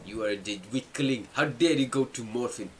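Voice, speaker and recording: A man's voice: medium pitch, moderate pace, a little hiss or hum. A man hosting a let's play, talking casually as he thinks aloud and reads out game text. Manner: chatty, thinks aloud, repeats himself. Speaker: gamer, let's play host. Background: game music.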